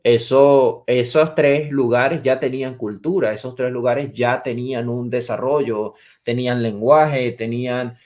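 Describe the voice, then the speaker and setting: A man's voice: medium pitch, steady tone, unhurried, few pauses, a little mellow. A man in his twenties from Venezuela, a theology teacher explaining terms step by step as a podcast guest. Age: 20s. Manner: lecturing, defines terms step by step. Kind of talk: explanatory interview answers.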